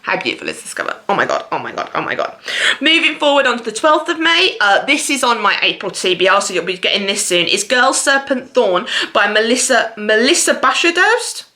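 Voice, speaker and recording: A woman with a fairly high, very bright voice chats casually as a book vlogger. Her delivery is gushing and expressive, in a loose, conversational monologue. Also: a little fast. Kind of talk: casual chatty video monologue about books.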